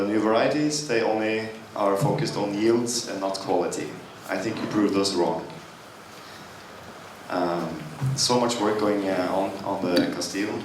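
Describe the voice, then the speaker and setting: A man moderating a conference panel, talking informally and loosely with frequent fillers. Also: lowish voice. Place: conference hall stage. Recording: echoey hall, from far off, with clear background noise.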